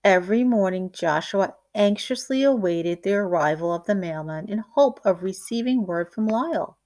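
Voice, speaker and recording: A woman reading a children's picture book aloud like a teacher, in a deliberate, expressive storytelling way. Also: mid-range voice.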